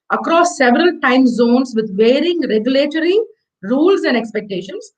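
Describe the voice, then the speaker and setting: A woman's voice: fairly high pitch, poor audio. A woman with an Indian accent, hosting an online fireside chat in a chatty, effusive, rambling way. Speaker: host introducing a guest speaker.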